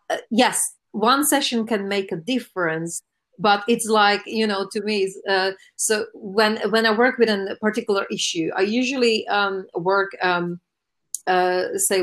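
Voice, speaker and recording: Earnest woman massage therapist, lowish voice. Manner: earnest, many fillers and restarts. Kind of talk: conversational interview answers.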